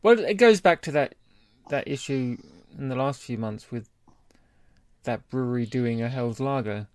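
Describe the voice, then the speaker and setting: A man's voice: mid-range voice, unhurried, a little mellow, no room sound. A man co-hosting a podcast in casual, conversational chat. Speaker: podcast co-host.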